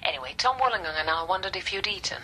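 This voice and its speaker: An actress playing a brisk, self-assured woman doctor, heard over a telephone in a radio drama. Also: medium pitch, expressive, bright, forceful tone. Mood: brisk, polite. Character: brisk, forward female institute doctor on telephone. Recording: telephone call with faint noise.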